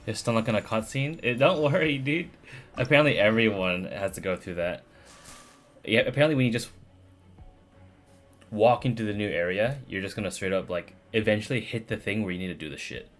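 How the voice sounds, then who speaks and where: A man's voice: lowish voice, brisk. A man chatting casually on a game stream in a slangy, rambling, profane way. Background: faint game music.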